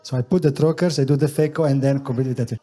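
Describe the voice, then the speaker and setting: A man's voice: mid-range voice, fairly even intonation, warm tone. Man from Italy in a reverberant hall. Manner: halting non-native phrasing, frequent fillers. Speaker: eye surgeon panelist. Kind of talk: conference panel discussion.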